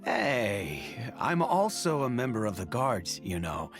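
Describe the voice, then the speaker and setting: A man's voice: mid-range voice, huge pitch swings, slow pace, faint music behind, exaggerated voice. A man voicing an easygoing, wry town guard in a video game, with breezy interjections. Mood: breezy, defensive. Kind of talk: video game voice acting, dramatic dialogue.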